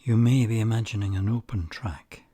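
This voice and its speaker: A man guiding a meditation, speaking softly and calmly, some phrases nearly whispered. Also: on the low side. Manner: soft-spoken, calm and unhurried, with breathy near-whispered phrases. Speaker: meditation guide. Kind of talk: slow guided meditation.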